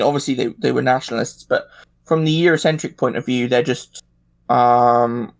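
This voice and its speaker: A man's voice: medium pitch, creaky. A man in a casual discussion, speaking hesitantly and thinking aloud as he goes. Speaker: podcast regular.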